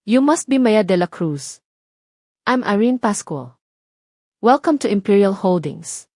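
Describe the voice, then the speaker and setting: Woman acting a brisk, formal female supervisor. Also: medium pitch, lively intonation, darkish tone, no room sound, studio quality. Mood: formally welcoming. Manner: formal, businesslike. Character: brisk, reserved woman supervisor in her mid-thirties.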